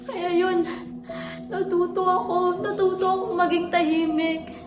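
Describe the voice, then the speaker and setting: A woman's voice: very high voice, flat delivery, measured pace. A young woman playing a lonely, worn-out female student who delivers a sorrowful, pleading monologue in a student stage play. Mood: despairing. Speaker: student character. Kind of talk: amateur stage acting. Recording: echoey room with background music.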